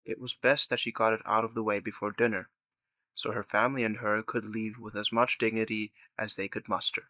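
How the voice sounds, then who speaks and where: A man's voice: lowish voice, little pitch movement, a little fast, pushed and bright, no room sound. A man reading scripted narration for an audio drama as its narrator.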